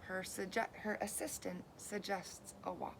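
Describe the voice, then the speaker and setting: A woman's voice: mid-range voice, gravelly. Woman reading a storybook aloud, noisy recording. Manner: expressive, deliberate phrasing, pauses to question the young listeners. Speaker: teacher reading a picture book aloud to children. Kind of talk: children's storybook read-aloud.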